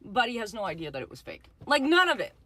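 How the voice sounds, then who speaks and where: A young woman with a high, lively voice rants in a chatty vlog. Her pitch swings widely, and she fills her talk with "like.". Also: fast talker, dry recording. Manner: chatty, animated, lots of "like" and laughs. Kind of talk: casual vlog rant.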